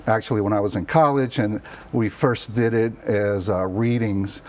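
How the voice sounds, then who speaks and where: A middle-aged man from Cuba who grew up near New York, telling personal stories casually and a little haltingly as an interview guest in a TV studio. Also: on the low side, steady tone. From born in Cuba, raised in the New York area from childhood.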